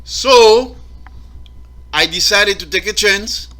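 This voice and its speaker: Slow-talking man doing stand-up. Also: sits high. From Guatemala. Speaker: stand-up comedian. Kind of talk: stand-up comedy set. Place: live comedy stage. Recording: loud audience laughter and noise.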